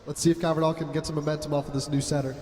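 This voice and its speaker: A young man calls a school volleyball game play-by-play, loud, chatty and joking, in a somewhat high voice. He sits in an echoey gym with a long ringing reverb. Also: little pitch movement, racing, a little hiss or hum. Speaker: play-by-play commentator. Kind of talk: amateur sports commentary.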